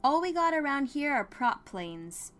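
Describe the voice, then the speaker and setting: A woman's voice: high voice, sing-song, a little slow, fairly bright tone, soft background music, voice pushed up, full character voice. A woman voicing a friendly, apologetic male clerk in a small town, casual and matter-of-fact, for an audio story. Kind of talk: dramatized audiobook character dialogue.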